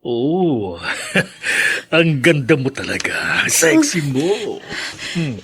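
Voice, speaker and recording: A male actor playing a lecherous rich old bar patron in a radio drama, with leering, chuckling, drawn-out speech. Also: a little high, sing-song, heavily acted. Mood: lecherous, gloating. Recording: noisy crowd behind the voice.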